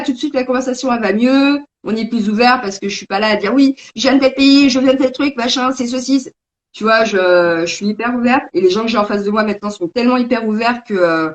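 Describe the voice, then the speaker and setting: A woman's voice: fairly high pitch, fast, dull audio. A woman born in Belgium and raised in France, a game creator chatting casually and thoughtfully, with frequent hesitations, over a video call. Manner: thoughtful, talkative, many hesitations. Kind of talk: casual conversation.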